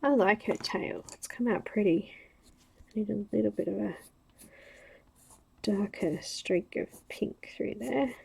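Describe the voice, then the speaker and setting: A woman with an Australian accent and a nasal voice, chatting casually while coloring on a livestream, thinking aloud with pauses. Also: mid-range voice, creaky, darkish tone, slightly rough.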